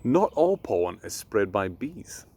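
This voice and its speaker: A man reads as a gentle storybook mother. The voice is creaky and soft, explaining things patiently and knowledgeably. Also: fairly low pitch, sing-song, measured pace, exaggerated voice.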